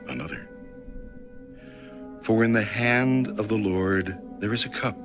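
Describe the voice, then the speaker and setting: A man reading scripture aloud in a solemn, dramatic, measured audiobook style. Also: lowish voice, over music. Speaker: scripture reader.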